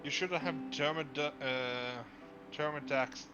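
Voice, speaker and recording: A man's voice: on the high side, slow pace. A man chatting casually on a livestream call, joking and rambling, tripping over his words, sleepy at an early hour. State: sleepy, awake early in the morning. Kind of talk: casual chat. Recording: muffled lo-fi call audio. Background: guitar music.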